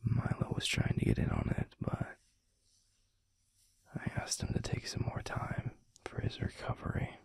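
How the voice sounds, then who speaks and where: A man voicing a gentle, protective alpha werewolf boyfriend in an intimate ASMR roleplay, murmuring slowly and haltingly in a breathy, gravelly near-whisper. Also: very high-pitched, huge pitch swings, heavily acted. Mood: calm, reflective. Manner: slow, halting, soothing murmur with pauses.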